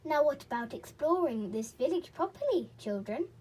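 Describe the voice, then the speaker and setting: A woman's voice: high-pitched, big pitch swings, normal speed, warm tone, distant mic, slight background noise, voice pushed up, rough recording. A woman reading aloud and voicing a small, nervous, clever male pixie in a children's storybook. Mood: knowing.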